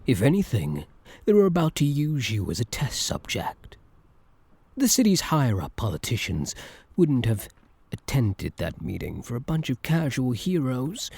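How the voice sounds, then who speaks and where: A man playing an obsessive, menacing yandere supervillain in an intimate, theatrical ASMR roleplay, with a breathy, deliberate delivery. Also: mid-range voice, darkish tone, no room sound, full character voice. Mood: mocking.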